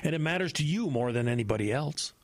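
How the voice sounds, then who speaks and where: An actor playing a brisk, pragmatic senior pastor in a horror audio drama, admonishing and stern with little patience. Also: male voice, on the high side, expressive, dry recording, lightly acted. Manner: admonishing, impatient.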